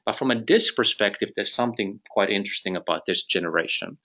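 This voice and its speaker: A man's voice: fairly low pitch, fast, bright, forceful tone. A middle-aged man from Finland with a Finnish accent, presenting a business webinar carefully, with restarts and light humor. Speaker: company president presenting a webinar.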